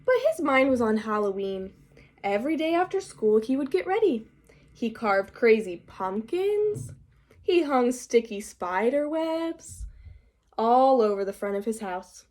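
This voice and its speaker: Slow-paced, higher-voiced woman reading to children. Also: big pitch swings, a little mellow. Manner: prompting, teacherly. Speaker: read-aloud storyteller for young children. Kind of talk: storybook reading with questions to children.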